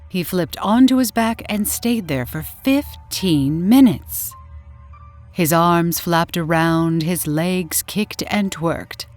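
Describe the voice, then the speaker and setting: A woman narrating in a dramatic, theatrical old-time radio horror style with deliberate delivery. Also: lowish voice, a little mellow. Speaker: story narrator and channel host. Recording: roomy echo, faint music behind.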